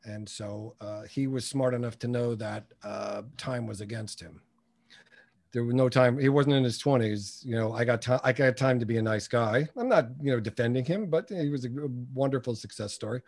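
A man's voice: medium pitch, fairly quick, a little mellow. A man in his early sixties talking as an interview guest, giving blunt, opinionated advice and anecdotes in punchy, emphatic, colloquial phrasing. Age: early 60s. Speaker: interview guest, business coach.

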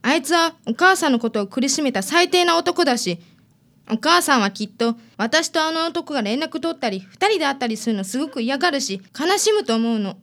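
A woman's voice: high voice, animated, a little fast, fairly bright tone. A woman playing a stubborn, wounded teenage daughter in a radio drama. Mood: pained, defiant.

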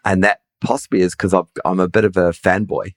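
A man's voice: low voice, level tone, fairly quick, no room sound, clean studio sound. A middle-aged man with a somewhat nasal voice, hosting a podcast in casual conversation, hesitant and self-deprecating with many restarts. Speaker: podcast host.

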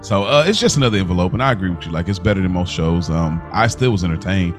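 A man's voice: low voice, animated, fast, over music. An American man hosting a podcast, chatting casually as he reviews television. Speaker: podcast host.

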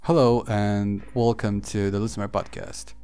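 A man hosting a solo podcast, thinking aloud in a halting, reflective way with frequent pauses and fillers. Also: lowish voice, little pitch movement, warm tone, smooth. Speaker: podcast host. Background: faint background music.

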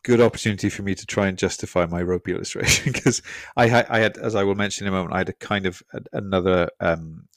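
A man's voice: deep voice, steady tone, fast, few pauses, no echo, studio quality. A man, a preacher, talking over his sermon in a casual church podcast chat, thoughtful and self-deprecating, often repeating words.

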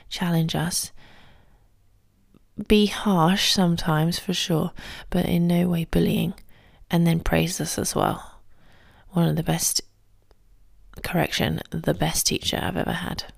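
A woman talks softly and calmly, her voice low with a gravelly fry, often dropping close to a whisper. She hosts a solo podcast from a quiet home early in the morning, and the sound is dry and close. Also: dry recording. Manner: soft, calm and quiet, often near a whisper. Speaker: podcast host and ballet teacher. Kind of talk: solo podcast advice talk.

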